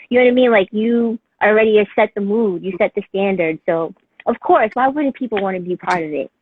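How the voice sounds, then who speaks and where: A woman's voice: medium pitch, no echo. A woman in her thirties chatting casually as interviewer, effusive and encouraging, with lots of fillers. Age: 30s. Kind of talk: casual chat. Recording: tinny phone-like dry sound.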